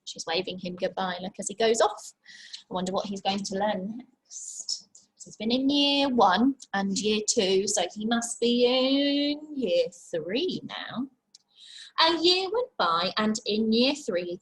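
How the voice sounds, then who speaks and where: A woman's voice: mid-range voice, expressive, slow, low-quality recording. A woman teacher reading a picture book aloud to young children, expressively, with simple chatty asides and questions. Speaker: infant school teacher.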